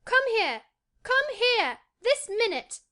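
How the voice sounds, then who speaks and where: A woman's voice: sits high, animated, a little slow, pushed and bright, pitched above the performer's own voice, full character voice. A woman voicing a proud, imperious young boy in a storybook, commanding and indignant. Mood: fierce command.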